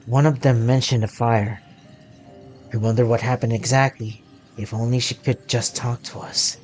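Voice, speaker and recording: A man streaming a story game, reading dialogue aloud and adding halting, muttered asides. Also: medium pitch, fairly even intonation, a little mellow. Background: game music.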